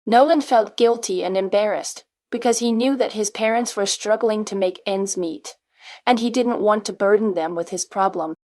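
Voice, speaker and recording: A woman presents scripted question-and-answer narration for language learners. Her pitch is average and the sound is dry and free of room echo. She reads in a measured, articulate manner. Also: darkish tone.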